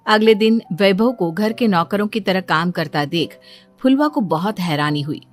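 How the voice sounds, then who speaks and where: Female storyteller, medium pitch, scripted narration, background music. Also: lively intonation, normal speed.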